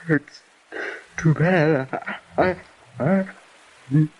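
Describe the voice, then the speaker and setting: A wounded night watchman in a vintage radio play, speech dragging terribly slowly. He is weak and hurting, groaning out broken fragments, and the old recording sounds dull and muffled. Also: on the high side. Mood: pained, fading. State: weak, in pain, struggling to speak. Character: badly injured watchman, barely conscious, weak and pained. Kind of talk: old-time radio drama acting.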